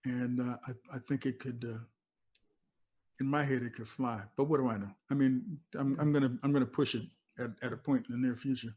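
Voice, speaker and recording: A man's voice: mid-range voice, steady tone, brisk, darkish tone. An older man, a veteran radio DJ, talking casually and reminiscing in an informal, conversational way as an interview guest. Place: video call from a cluttered room.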